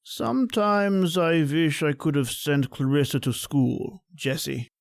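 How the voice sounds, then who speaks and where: A man playing a secretive, anxious old father in his eighties in a radio drama, speaking haltingly in short pleas. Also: on the high side, vocal fry, no room sound, studio quality. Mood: wistful, stubborn. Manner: halting, hesitant speech in short, simple pleas.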